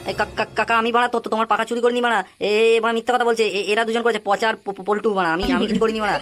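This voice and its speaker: A man's voice: high voice, flat delivery, very fast, fairly bright tone, faint music behind. A man voicing a foul-mouthed, blustering young thief in a comic cartoon. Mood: panicky, defensive. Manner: swearing constantly, blustering. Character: foul-mouthed brash young male neighbourhood thief, defiant.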